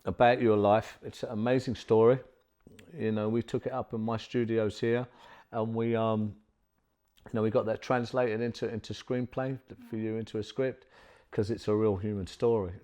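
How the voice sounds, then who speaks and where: A man's voice: fairly low pitch, warm tone. Effusive rambling male podcast host. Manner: effusive, rambling, many fillers. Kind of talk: interview. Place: studio.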